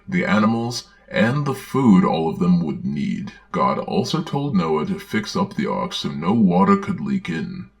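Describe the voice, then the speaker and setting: A man's voice: low-pitched, gravelly. A man giving a dramatic storybook reading with wry, sardonic commentary. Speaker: host, storyteller.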